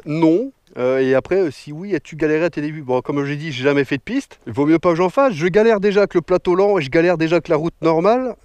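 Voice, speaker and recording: A man's voice: a little high, darkish tone, no room sound. A man in his thirties from northern France, a motorcycle vlogger answering viewer questions in a joking, slangy way outdoors. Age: 30s. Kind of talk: casual Q&A vlog. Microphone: action camera mic.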